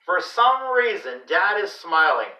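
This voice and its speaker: Slow, echoey man reading a storybook. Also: sits high, big pitch swings, bright, forceful tone. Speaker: read-aloud host for a school class. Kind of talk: expressive children's storybook read-aloud. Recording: roomy echo, distant microphone.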